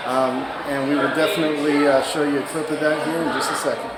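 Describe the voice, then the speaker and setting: A man's voice: a little high, little pitch movement, fast talker. A man hosting an interview, upbeat and encouraging, asking questions and promoting his guest. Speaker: interviewer, show host. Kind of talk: casual interview. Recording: echoey hall, loud crowd and noise.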